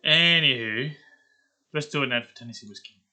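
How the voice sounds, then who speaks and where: A man's voice: mid-range voice, moderate pace, bright, forceful tone. A man chatting casually to himself and thinking aloud as he practises voice-over scripts. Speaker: amateur voice actor trying out character voices.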